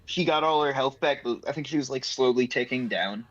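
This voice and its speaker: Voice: medium pitch, brisk, fairly bright tone. A tabletop role-playing game player chatting casually through a dull, lo-fi microphone.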